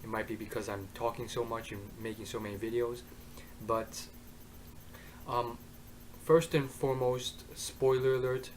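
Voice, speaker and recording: Young male vlogger, hoarse voice, rambling anime review, bedroom webcam, light hiss behind. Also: medium pitch, little pitch movement, normal speed, pauses often, poor audio. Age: young adult. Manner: rambling, many ums and uhs. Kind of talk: casual vlog review. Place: bedroom, indoors. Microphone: webcam at desk.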